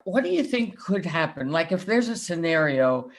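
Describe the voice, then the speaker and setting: A woman's voice: on the low side, sing-song, moderate pace. Woman, podcast host, casual interview style, informal, rambling, thinking aloud.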